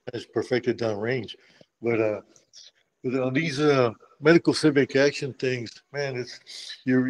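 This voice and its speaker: A retired army medic from Texas, telling stories in a relaxed interview with many restarts and a habit of saying "man". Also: mid-range voice, poor audio. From Texas, USA. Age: older. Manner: frequent restarts and repeated words, says "man" often. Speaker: guest, retired Special Forces medic. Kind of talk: conversational interview storytelling.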